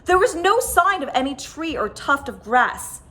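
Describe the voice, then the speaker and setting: A woman reading a children's picture book aloud as a storyteller, with dramatic, expressive phrasing. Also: high-pitched, a little slow. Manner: dramatic, stressed sound words and phrases. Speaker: storyteller, host of a story time.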